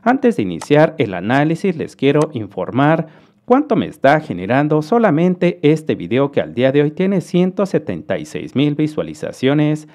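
A man hosts his own channel, talking through numbers for his viewers in a friendly, explanatory way. His voice sits a bit high and swings widely in pitch. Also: wildly swooping pitch, normal speed, darkish tone. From Mexico. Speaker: YouTube earnings analyst host. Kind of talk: screen-recorded commentary. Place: voice-over to a screen recording.

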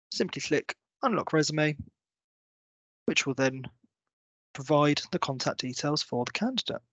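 A man in his thirties with a slightly high voice narrates a screen-share tutorial. He explains each step in an orderly, instructional way. The sound is close and dry, a little dull, as if from a computer mic in a small room. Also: dry recording. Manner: clear, step-by-step explanatory delivery. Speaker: company presenter giving a software walkthrough.

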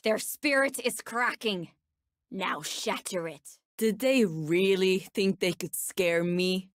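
A woman's voice: medium pitch, dry recording, lightly acted. Casting: actress for menacing female bounty hunter, video game, Turkish accent, confident and ominous, dry studio sound. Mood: confident, taunting, then cold, pleased. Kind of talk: video game character voice lines.

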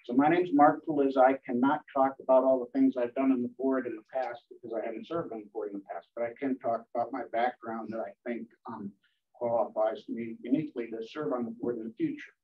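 An older man introducing himself as a board candidate at a meeting, pausing often with ums. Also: medium pitch, fairly even intonation. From Connecticut. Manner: hesitant, many ums. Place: meeting room. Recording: dull distant room mic.

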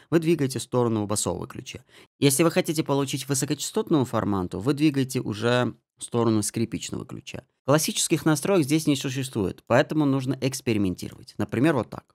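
A man giving a spoken software tutorial as an audio mixing tutor, explaining settings step by step. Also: medium pitch. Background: music and guitar samples.

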